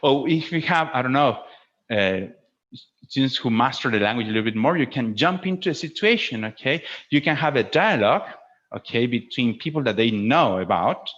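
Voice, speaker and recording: A man speaking as a teacher trainer in an informal instructional webinar, explaining in an animated way and often checking that listeners follow. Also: medium pitch, moderate pace. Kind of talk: informal instructional presentation with acted demonstrations. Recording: dull lo-fi webinar audio.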